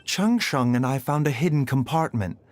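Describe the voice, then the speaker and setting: A gentle, enigmatic doctor, voiced by a man, calm and knowing, with a hint of teasing. His pitch moves expressively up and down as he explains. Faint game music plays behind him. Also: moderate pace, close to an everyday voice. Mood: explaining. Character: gentle, sly male doctor, soft-spoken and enigmatic. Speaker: game character. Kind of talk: video game voice acting.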